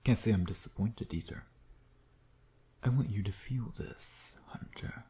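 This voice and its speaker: Male actor as a male vampire, low-pitched, soft dark timbre, smug, sardonic, flirtatious, breathy murmurs, intimate ASMR roleplay. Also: measured pace, slight background noise, close to an everyday voice. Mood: menacing, seductive. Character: smug, teasing male vampire predator toying with a hunter.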